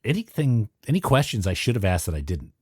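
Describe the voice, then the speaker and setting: A man with a fairly low voice and animated intonation, recorded close and dry. He talks casually as a podcast host interviewing a guest. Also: quick pace, husky, dry recording.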